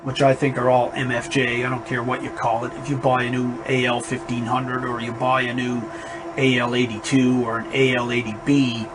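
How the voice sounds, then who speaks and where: A man with a fairly high, not boomy voice, casually reviewing a radio amplifier, rambling and emphatic. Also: level tone, moderate pace, over music, rough recording. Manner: rambling, emphatic warnings, restarts. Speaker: ham radio operator reviewing gear. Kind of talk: casual spoken equipment review. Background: amplifier fan noise in background.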